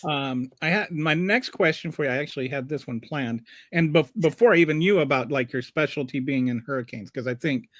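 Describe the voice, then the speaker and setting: A man's voice: fairly high pitch, fairly quick, hardly any pauses, fairly bright tone, dry recording. A man hosts a laid-back podcast, chatting in a rambling way and stumbling over restarts. His voice sits close and dry, with no room sound around it. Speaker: podcast host. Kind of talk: casual interview chat.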